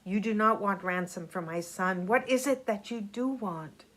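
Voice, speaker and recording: A woman's voice: medium pitch, moderate pace, a bit bright, lightly acted. A woman voicing a proud, protective nobleman father in a folk tale, speaking formally and with emphasis. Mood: puzzled.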